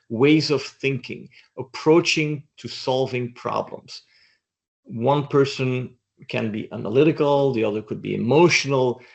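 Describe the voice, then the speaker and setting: A man's voice: mid-range voice, darkish tone, lo-fi sound. A middle-aged man from Belgium, a guest in an interview, speaking in a reflective, thoughtful way. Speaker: interview guest, doctoral student. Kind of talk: casual interview chat.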